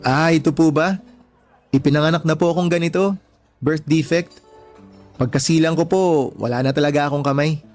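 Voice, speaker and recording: A man's voice: fairly high pitch, steady tone, moderate pace, long pauses, a little mellow, faint music behind, lightly acted. A man playing a shy, humble and deferential young man in a radio drama. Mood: resigned, candid. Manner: polite and deferential. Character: humble, shy, self-effacing young layout artist born one-handed.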